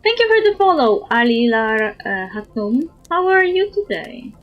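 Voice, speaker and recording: A woman's voice: on the high side, very animated, a little slow, highs cut off. A woman from Europe livestreaming a game, reading dialogue aloud and chatting with her viewers. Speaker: livestreamer, VTuber. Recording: music behind the voice.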